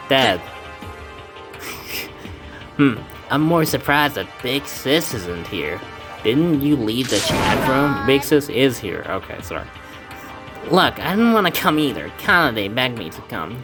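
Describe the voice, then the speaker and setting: A man's voice: mid-range voice, expressive, unhurried, music in the background. A man reading a fan game aloud, voicing its characters with casual asides and stumbles. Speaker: let's play fan dubber. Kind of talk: casual game playthrough with voiced dialogue.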